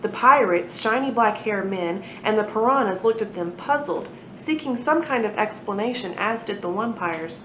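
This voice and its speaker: A woman's voice: animated, moderate pace, hardly any pauses, a bit bright. A woman storyteller at a library reading a children's book aloud expressively. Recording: echoey room, muffled, noisy low rumble.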